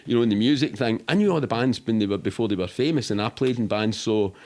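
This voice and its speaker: A man's voice: on the low side, fast, a little mellow. A middle-aged man with a Glaswegian accent, telling rambling, sweary, matter-of-fact anecdotes in casual interview chat. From Scotland. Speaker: podcast guest.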